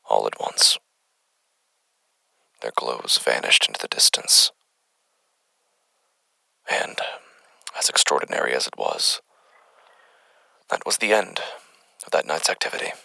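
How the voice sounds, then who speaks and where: Gravelly, very low-voiced formal actor as a male investigator. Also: wildly swooping pitch, pushed and bright, no room sound, whispered, heavily acted, rough recording. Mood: hushed awe. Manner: formal, deliberate, halting, wordy. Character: unsettled male doctor recording case findings on the paranormal. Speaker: investigator narrator. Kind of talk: horror audio drama monologue, formal dictated case log.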